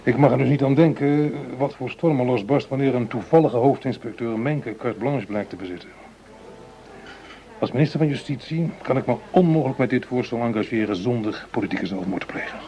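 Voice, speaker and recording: A cautious, calculating government minister played by a male radio actor speaks in a mid-pitched voice. His phrasing is formal and deliberate, as in a confidential political talk. The radio play recording has clear background noise. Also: lo-fi sound, lightly acted. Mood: grave, measured.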